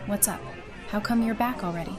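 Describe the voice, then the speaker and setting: An actress plays a direct, affectionate woman who leads. Her mid-pitched voice is casual and brisk, in an audio drama with music clearly underneath. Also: a little mellow, a faint crowd, lightly acted. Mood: concerned. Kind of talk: fan audio drama acting.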